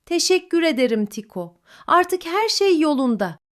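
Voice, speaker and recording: A woman voicing a small, sad young rabbit in a children's bedtime story, soft and pleading. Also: extremely high pitch, sing-song, normal speed, pitched above the performer's own voice. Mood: tearful.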